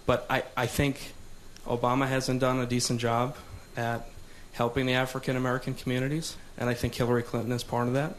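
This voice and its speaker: A man's voice: mid-range voice, flat delivery, a little fast, a little hiss or hum. A young man in his twenties speaking hesitantly with fillers in a discussion. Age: 20s. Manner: hesitant, hedging.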